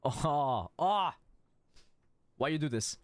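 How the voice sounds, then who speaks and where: A man's voice: a little high, animated, takes it slowly. A man giving casual live commentary on a video game as a streamer, informal and sympathetic, musing aloud.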